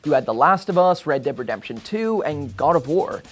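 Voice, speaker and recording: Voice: mid-range voice, expressive, normal speed, faint music behind. A video essay host reading scripted narration in an explanatory way.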